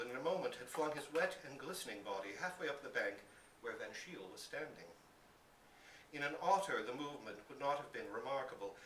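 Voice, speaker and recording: Male story reader, very varied intonation, expressive read-aloud delivery, reverberant room, light background noise. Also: mid-range voice. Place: indoor public reading in a bookstore. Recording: roomy, distant live recording with hiss.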